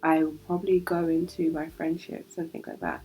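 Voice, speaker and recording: A woman's voice: sits low, flat delivery, moderate pace, dark tone, slight background noise, rough recording. A young British woman hosting a solo podcast, chatty and reflective, sounding nasal from a cold. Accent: British English. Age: young adult. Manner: chatty, reflective, laughs easily. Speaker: podcast host.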